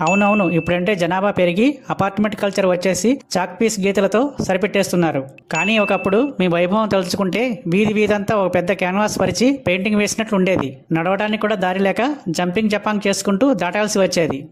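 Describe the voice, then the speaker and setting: A brash young rooster from a comic Telugu cartoon played by an actor. The talk is rapid and slangy, boastful and teasing, on a fairly flat pitch line. Light noise sits behind the voice. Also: close to an everyday voice. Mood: chatty. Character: cocky, chatty young rooster hosting festival interviews.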